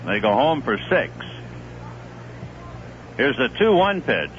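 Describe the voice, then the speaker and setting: A man calling baseball play-by-play on a 1970s radio broadcast, in a steady, conversational manner. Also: slow. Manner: steady, conversational play-by-play with live pitch calls. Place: stadium broadcast booth. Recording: muffled 1970s AM radio broadcast with faint hiss.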